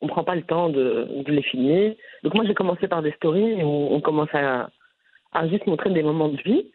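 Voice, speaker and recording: A woman from Brussels with a Brussels French accent, answering interview questions in an animated, frank way with frequent restarts. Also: low voice, normal speed, breathy edge. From Brussels, Belgium. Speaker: content creator guest. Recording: phone-line audio.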